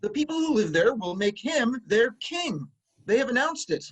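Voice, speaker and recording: An actor playing a humble, cheery old messenger from Corinth in a formal, expressive reading of a Greek tragedy. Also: male voice, very high-pitched, normal speed, breathy edge, heavily acted, poor audio. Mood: eager, courteous.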